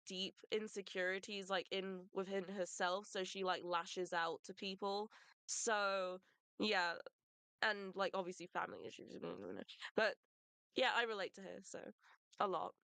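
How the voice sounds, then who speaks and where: A woman voice actor answering hesitantly, halting with many fillers. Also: medium pitch, pushed and bright, smooth, no room sound. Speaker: voice actor on a panel.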